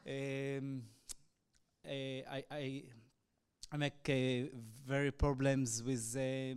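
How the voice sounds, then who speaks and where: A man from Israel, a native Arabic speaker, telling his personal testimony in hesitant, halting English full of fillers. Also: mid-range voice, clean tone. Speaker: evangelist.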